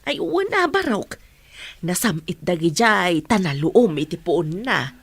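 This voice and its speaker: A woman's voice: fairly low pitch, sing-song, measured pace, breathy edge, no echo, exaggerated voice. A woman playing a kindly, generous village mother in an Ilocano radio drama. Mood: caring, instructing.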